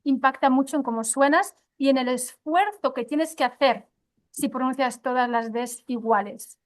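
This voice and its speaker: A woman's voice: high-pitched, normal speed. A woman speaking as a guest pronunciation teacher, explaining with many fillers and restarts. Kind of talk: conversational interview answers.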